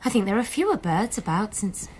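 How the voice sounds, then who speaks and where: A woman's voice: medium pitch, big pitch swings, fairly quick, a little mellow, slight background noise, close to an everyday voice. An actress playing a wry, uneasy woman in a period radio drama, speaking in a dry, pensive way.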